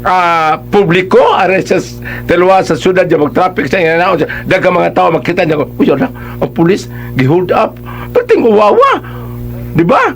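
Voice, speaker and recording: A man's voice: high voice, big pitch swings, moderate pace, a bit bright, faint music behind. A man with a Cebuano accent giving conversational, emphatic advice as a radio host.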